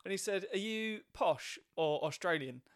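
A man's voice: high-pitched, expressive, clean tone. A man from Essex with a mild estuary English accent, hosting a podcast chat, who chimes in often and enunciates carefully. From Essex, England. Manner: quick agreeing, laughs, careful enunciation. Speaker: podcast host, stand-up comedian.

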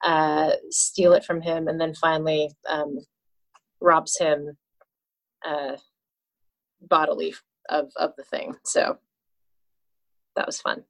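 Woman w lowish voice on a casual book chat, deliberate, pauses while explaining. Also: level tone, gravelly, poor audio. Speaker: book discussion panelist. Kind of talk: casual literary discussion.

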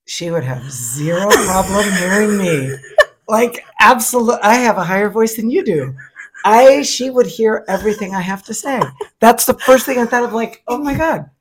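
A middle-aged man co-hosting a podcast, chatting in an animated, gushing, campy way. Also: high-pitched, normal speed, a little hiss or hum, low-quality recording. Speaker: podcast co-host. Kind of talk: casual chat.

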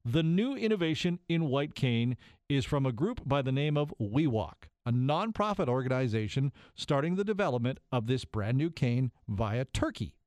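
Man, host, upbeat, conversational, animated pitch, dry recording. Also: normal speed, no echo. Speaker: show host. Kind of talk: podcast interview.

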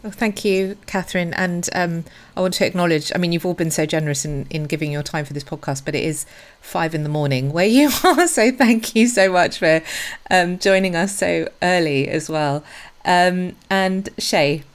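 A woman's voice: lowish voice, normal speed. A woman hosting a podcast panel, introducing the discussion and inviting guests to speak. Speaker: podcast host, clinical editor.

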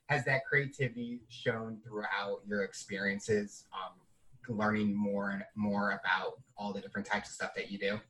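A man's voice: lowish voice, flat delivery, moderate pace, pushed and bright, far from the mic, low-quality recording. A young adult man hosting an interview podcast, asking friendly, long questions. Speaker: podcast host.

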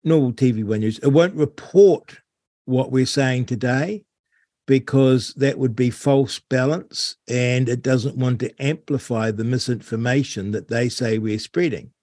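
A man hosts an interview in a relaxed, wry manner. He draws out words for emphasis, his voice sitting at a middle pitch. The sound is close and dry. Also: measured pace, dry recording. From New Zealand. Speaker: host. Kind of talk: casual interview chat.

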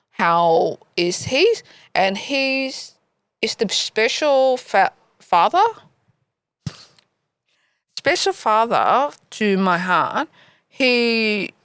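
A woman from Vietnam with a Vietnamese accent, speaking haltingly as a guest in an interview in a library. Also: medium pitch, a bit bright, clean tone, dry recording. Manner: halting, searching for words. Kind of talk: interview answers. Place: local library.

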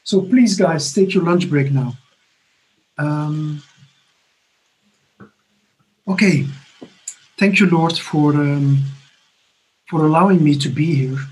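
A man in his fifties from Belgium giving a personal recovery testimony from his apartment, halting and earnest with many restarts. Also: fairly high pitch, darkish tone, poor audio. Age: 50s. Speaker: recovery conference speaker. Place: home apartment.

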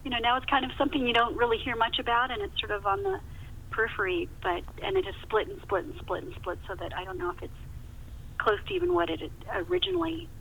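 A woman with a high voice that swings widely in pitch. She talks like an interview guest telling stories, emphatic and conversational. The sound is muffled, like a phone line with some hiss. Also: fast talker, gravelly. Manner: animated, emphatic, repeats words for emphasis. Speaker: novelist, podcast guest.

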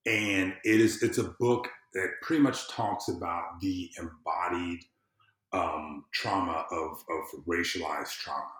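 A man's voice: low voice, fairly even intonation, measured pace, fairly bright tone. A man from the American South chatting casually and emphatically as a podcast guest. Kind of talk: casual interview chat. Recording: roomy, echoing sound.

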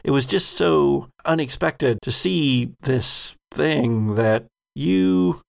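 American man, game master, heavy vocal fry, unhurried, suspenseful horror narration, deliberate storytelling. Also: medium pitch.

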